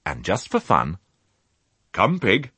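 A man's voice: low voice, wildly swooping pitch, slow pace, pushed and bright, slightly rough, no echo, full character voice. A man voicing a restrained farmer who gives short commands to his animals in an audiobook. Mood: calm, commanding. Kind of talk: audiobook character voice.

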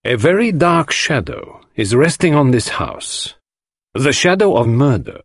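A man voicing a theatrical, commanding detective, formal and deliberate, in an audiobook. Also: mid-range voice, very animated, slightly rough, no room sound, clean studio sound, voice pushed up, heavily acted. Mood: grave, formal. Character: theatrical Belgian male detective, precise, commanding, dramatic. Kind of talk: audiobook character voice.

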